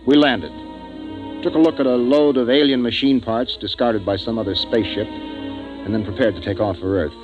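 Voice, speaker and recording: An actor playing a gruff, impatient middle-aged spaceship commander in a 1950s science fiction radio drama, with a commanding delivery. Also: male voice, mid-range voice, fairly even intonation, moderate pace, narrow, tinny audio, lightly acted. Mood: wry narrating. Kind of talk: 1950s radio acting with first-person narration. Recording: vintage 1950s radio, music behind.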